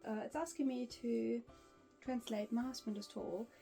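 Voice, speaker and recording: A woman's voice: a little high, moderate pace, creaky, warm tone, faint music behind. A young woman whose first language is German, talking casually to camera in English about learning languages, with frequent restarts and small laughs. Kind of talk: casual vlog talk to camera.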